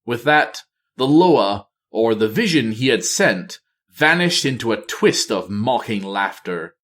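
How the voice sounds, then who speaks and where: A man's voice: medium pitch, sing-song, slow, fairly bright tone. A man reading a fantasy novel aloud as storyteller, in dramatic audiobook-style narration.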